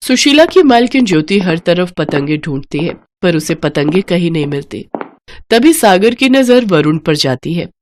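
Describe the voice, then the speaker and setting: A woman's voice: fairly low pitch, sing-song, normal speed, slight background noise. A woman reading a scripted moral story for children as the narrator.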